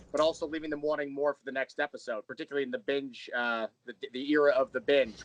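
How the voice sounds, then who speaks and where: A man's voice: fairly high pitch, a bit bright, poor audio. A man speaking casually as an interview guest, explaining his creative work.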